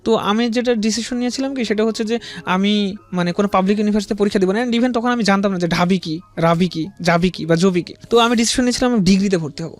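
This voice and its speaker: A man in his twenties with a Bangladeshi accent, telling his life story as an interview guest in a halting, deferential, repetitive way. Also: high voice. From rural Bangladesh.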